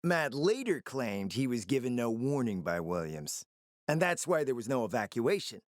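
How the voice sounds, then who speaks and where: A man performs a shrewd, foul-mouthed operator in a ruined-city video game. His delivery is lively and swings in pitch, conspiratorial and emphatic with a sardonic edge. The sound is dry and studio-tight. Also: normal speed, no echo, close to an everyday voice. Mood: bitter, sardonic. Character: scheming, foul-mouthed post-apocalyptic city operator, confident and calculating. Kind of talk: dramatic video game dialogue.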